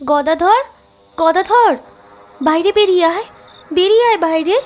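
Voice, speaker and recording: A woman's voice: very high voice, expressive, unhurried, a little mellow, faint music behind. A woman voicing the ghost of a little girl, calling out tauntingly in a horror audio story. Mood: eerie beckoning.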